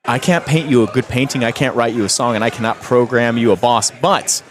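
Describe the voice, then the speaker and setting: A man at middle pitch speaks in a measured, thoughtful manner. He unfolds his answers like small stories in a casual interview. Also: fairly even intonation.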